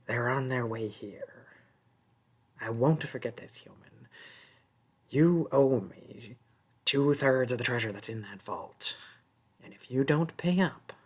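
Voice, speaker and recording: Someone putting on a sly, husky goblin thief. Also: mid-range voice. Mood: menacing. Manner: taunting, haggling, drawn-out wheedling. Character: sly mocking goblin thief, small and sneaky. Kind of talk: fantasy audio roleplay addressed to the listener.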